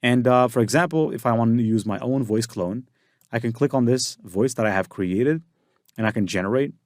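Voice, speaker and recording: A man giving a casual software tutorial, explaining step by step with frequent fillers and restarts. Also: mid-range voice, moderate pace, no echo, studio quality. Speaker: tutorial instructor.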